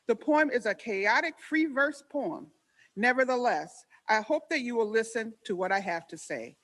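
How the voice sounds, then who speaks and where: A woman's voice: a little high, a bit bright. A woman emceeing a commemorative event, reading formal speaker introductions. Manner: formal, measured reading from a script.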